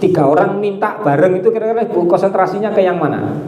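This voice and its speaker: A man's voice: high-pitched, fast talker, muffled recording, noisy background. A man giving a conversational religious study talk to an audience. He tells stories, asks his listeners questions and repeats key phrases. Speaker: Islamic preacher. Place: hall with a live audience. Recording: echoey room with an audience.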